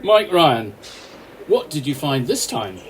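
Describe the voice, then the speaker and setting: A man playing a courteous, quietly wry train passenger in a radio play, mild and conversational. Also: a little high, sing-song, slow pace, rough recording. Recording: faint noise.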